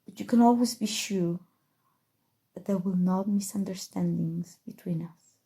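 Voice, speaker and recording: Woman acting a breathy, mellow, possessive evil sorceress. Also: fairly low pitch. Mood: soothing, seductive. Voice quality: breathy, soft, often dropping to whispers. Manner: slow, soothing, deliberate, sinister affection. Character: possessive evil sorceress, softly obsessive and tender. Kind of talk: ASMR roleplay, intimate scripted monologue.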